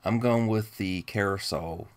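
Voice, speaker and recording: A man's voice: fairly low pitch, unhurried, soft background music. A man co-hosting a toy show in casual, informal conversation. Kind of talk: casual toy review chat.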